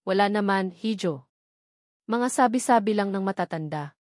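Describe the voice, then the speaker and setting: A woman's voice: medium pitch, expressive, moderate pace, darkish tone, dry recording, studio quality, lightly acted. A woman voicing a curious, pitying old village gossip who confides in hints, in an audio drama. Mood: knowing, confiding. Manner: hinting, confiding.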